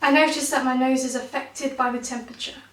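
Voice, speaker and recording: A woman in her twenties with a croaky, blocked-nose voice, performing spoken word poetry with a wry, self-mocking, punchy delivery. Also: sits high, steady tone, fast talker, a bit bright, roomy sound, far from the mic. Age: 20s.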